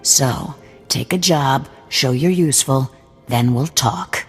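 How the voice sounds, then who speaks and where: A gruff, suspicious rebel commander with cybernetic implants,handing out threats in a flat, curt voice. Soft game music plays underneath. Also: male voice, medium pitch, takes it slowly. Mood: hostile. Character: hard, distrustful male rebel leader with cybernetics. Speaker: resistance cell leader. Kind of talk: video game cutscene acting.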